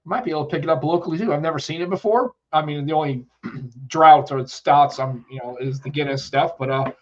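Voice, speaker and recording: A man's voice: a little high, brisk, dull audio. A man co-hosting a live tech podcast, a bit congested from a cold, rambling and reading out chat messages. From United States. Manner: rambling, chatty, reads out chat comments. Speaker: podcast co-host. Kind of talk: casual live-stream chat.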